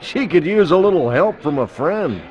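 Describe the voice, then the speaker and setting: A helpful bug from a cartoon game acted by a man, chatting in a folksy, high-pitched voice. The audio is slightly dull. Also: lively intonation, moderate pace, darkish tone, natural voice. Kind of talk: cartoon game character instructions.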